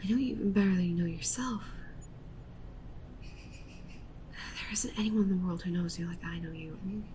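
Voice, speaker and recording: A woman's voice: mid-range voice, a little mellow, a little hiss or hum, close to an everyday voice, rough recording. A woman playing an obsessive, clingy yandere witch streamer in an intimate roleplay ASMR monologue, half-whispering with intensity.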